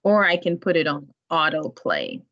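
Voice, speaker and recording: A woman from the library staff demonstrating a language database in an online tutorial, in a relaxed, chatty way. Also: sits low, normal speed, creaky. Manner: relaxed, chatty, laughs often. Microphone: video call. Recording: muffled online meeting audio.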